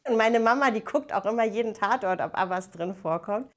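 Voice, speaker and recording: A woman's voice: medium pitch, animated, fairly quick. A woman answering interview questions casually, with fillers.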